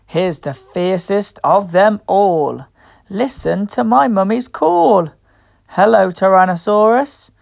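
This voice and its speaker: Slow, animated woman reading to young children. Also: mid-range voice, no echo, clean studio sound. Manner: playful, encouraging, clearly addressing children. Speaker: children's storybook reader and host. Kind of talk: expressive picture-book read-aloud for young children.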